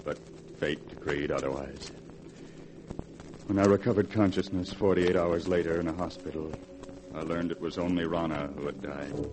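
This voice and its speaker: An actor playing a haunted, bitter husband in a grave, dramatic 1940s radio horror style. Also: male voice, very deep voice, fairly even intonation, normal speed. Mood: haunted, brooding. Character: haunted, bitter husband, desperate to escape his wife. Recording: hissy vintage 1940s radio recording.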